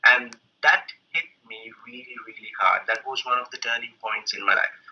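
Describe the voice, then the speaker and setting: A man's voice: mid-range voice, steady tone, normal speed, a little hiss or hum. A man with a Sri Lankan accent giving a conversational presentation with personal stories, as an academic speaker over an online call. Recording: muffled lo-fi online call audio.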